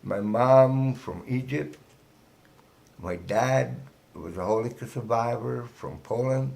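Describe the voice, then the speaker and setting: Slow, gravelly Israeli man in his sixties. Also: mid-range voice, a little mellow. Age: 60s. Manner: halting, broken English with restarts. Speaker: guest. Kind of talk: casual interview.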